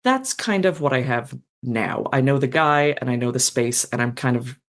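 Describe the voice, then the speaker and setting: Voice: medium pitch, expressive, moderate pace, fairly bright tone. Chatty author describing a story idea. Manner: enthusiastic, rambling, with frequent ums and likes. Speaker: author guest. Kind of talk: casual podcast interview.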